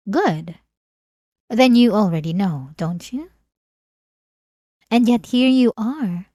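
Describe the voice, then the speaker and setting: Slow, possessive vampire woman, acted by a woman. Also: fairly high pitch, darkish tone, no room sound, studio quality. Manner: slow, theatrical, seductive taunting with drawn-out pauses. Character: obsessive, possessive, manipulative centuries-old female vampire lover. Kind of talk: ASMR roleplay acting.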